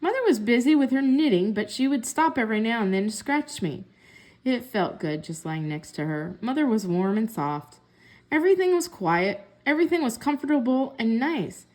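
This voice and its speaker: High-voiced woman reading a children's story. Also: sing-song, normal speed, darkish tone. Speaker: story reader. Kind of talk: expressive children's book read-aloud.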